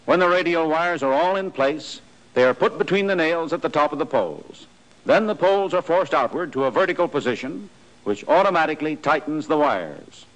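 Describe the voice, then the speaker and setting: A man's voice: on the high side, animated, fairly quick, fairly bright tone, poor audio. An American man narrating a 1940s training film from a script in a formal, precise, matter-of-fact way.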